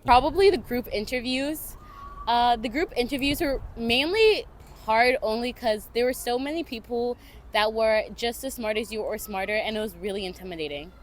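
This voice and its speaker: A young woman from Canada and Tanzania answering interview questions in a relaxed, frank way. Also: on the high side, moderate pace, a little hiss or hum. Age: young adult. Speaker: student interviewee.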